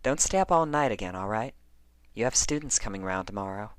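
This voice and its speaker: A man voicing a practical female pony, brisk, bossy and sarcastic, who scolds but cares. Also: lowish voice, lively intonation, clean tone, no echo, voice pushed up, natural voice. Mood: gently bossy.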